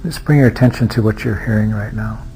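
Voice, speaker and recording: A man leading a guided meditation as a teacher, speaking in an unhurried way with long pauses and hesitant restarts. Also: lowish voice, dark tone, slight background noise, rough recording. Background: music.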